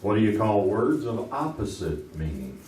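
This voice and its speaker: A man's voice: lowish voice, very animated, normal speed, darkish tone, a little hiss or hum. A man playing a wry, easily amused host of an old time radio comedy quiz. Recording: echoey stage, distant mic, audience.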